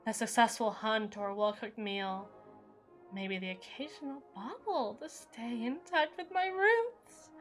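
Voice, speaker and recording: A woman's voice: medium pitch, lightly acted. A woman playing an unstable, brooding evil queen in an intense, theatrical audio roleplay, speaking in formal, dramatic monologue. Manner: theatrical, formal, intense, swinging between hushed and forceful.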